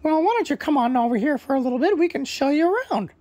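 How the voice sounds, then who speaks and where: A man's voice: very high voice, expressive, fast talker, darkish tone, no echo, pitched above the performer's own voice. A man voicing a cheerful, hospitable male rainbow parrot for a children's bedtime story, eager and welcoming, often repeating his phrases.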